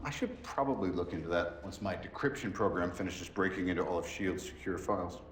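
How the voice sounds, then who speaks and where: A glib, cocky inventor superhero for a game. The voice is fairly low and very lively. It rings with echo, with faint music behind. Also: male voice, fairly quick. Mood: suspicious. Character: cocky, quick-witted billionaire inventor superhero. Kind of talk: animated game cutscene dialogue.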